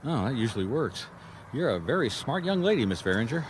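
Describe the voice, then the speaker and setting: An actor playing a wry, easygoing private detective with an American accent in a radio mystery, conversational and probing. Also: male voice, mid-range voice, big pitch swings, brisk, slight background noise, lightly acted, rough recording. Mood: wry, persistent. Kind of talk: radio mystery drama with first-person narration.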